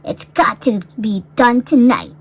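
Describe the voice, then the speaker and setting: A woman puts on a cruel storybook villainess. Her voice is high and shrill, raised well above everyday speech. She is imperious and commanding. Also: very animated, measured pace, slightly rough, exaggerated voice. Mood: menacing.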